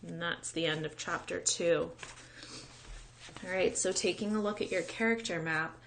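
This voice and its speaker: Bright-voiced woman teacher, low-pitched. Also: normal speed, gravelly, a little hiss or hum. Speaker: teacher reading aloud. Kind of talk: read-along narration and casual lesson talk.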